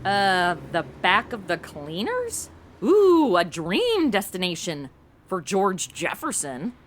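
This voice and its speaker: A woman's voice: medium pitch, huge pitch swings, takes it slowly, a little hiss or hum. A woman playing a brash, ditzy, sarcastic modern young woman in a comedic audio drama, with slangy, theatrical delivery. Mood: sarcastic, flippant.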